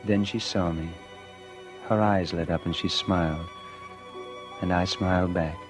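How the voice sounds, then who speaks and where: Low-voiced guilt-ridden man narrating, music behind. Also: steady tone, unhurried. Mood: brooding, tense. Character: guilt-ridden murderer confessing, narrating his own downfall. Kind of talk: 1940s radio drama first-person narration. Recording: 1949 radio recording with music.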